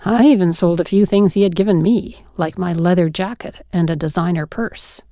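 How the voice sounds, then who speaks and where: A woman reading a first-person story aloud in an expressive, wry, dramatic way. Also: fairly low pitch, moderate pace, no echo, studio quality.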